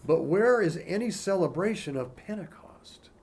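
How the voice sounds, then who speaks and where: A man teaching as a pastor in a relaxed, conversational way, reading scripture aloud between informal asides and frequent "you know" fillers. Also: high-pitched, moderate pace, slight background noise.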